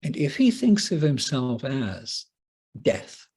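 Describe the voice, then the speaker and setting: A man with a husky voice giving a prepared talk as a playwright, speaking deliberately and theatrically with dramatic pauses. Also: medium pitch, sing-song, darkish tone. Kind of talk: prepared conference lecture, read aloud with dramatic phrasing.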